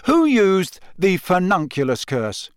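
A man voicing offhand, higher-pitched teenage prankster boy. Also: huge pitch swings, takes it slowly, clean tone, dry recording, studio quality, full character voice. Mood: light, amused. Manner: light, offhand, impatient. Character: cheeky, matter-of-fact teenage twin brothers, pranksters. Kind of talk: audiobook character acting.

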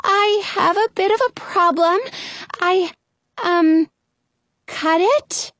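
A woman's voice: very high voice, animated, slow pace, a bit bright, no echo, voice pushed up, full character voice. A woman voicing a frightened, naive fairy-tale girl, pleading and hesitant. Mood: timid, apologetic.

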